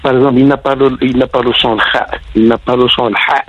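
Husky man calling in over a muffled line. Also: medium pitch, flat delivery, fast talker. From Morocco. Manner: digressive, repeats words while searching. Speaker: caller. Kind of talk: casual phone-in chat.

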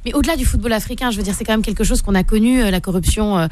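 A woman pundit on a radio panel, talking briskly and restarting her sentences often. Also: medium pitch, a little hiss or hum. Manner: rapid, restarts often, lively.

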